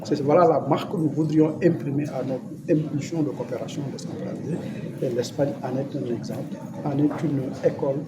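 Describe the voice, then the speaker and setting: A man's voice: mid-range voice, steady tone, a little fast. A man with a Senegalese accent giving an unscripted, formal and didactic statement to the press as an official. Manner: formal and didactic, posing and answering his own questions. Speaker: government official. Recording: loud background noise, event ambience.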